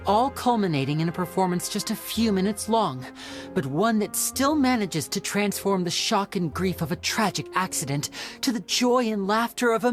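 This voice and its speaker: An actor voicing a young male stage magician in a video game, smooth, theatrical and explanatory. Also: sits high. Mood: explaining. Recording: game audio with soft music.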